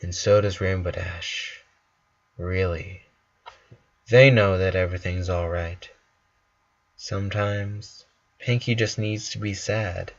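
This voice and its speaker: A man's voice: deep voice, steady tone, slow pace, pauses often. A man narrating a story from a script, on a slightly dull recording.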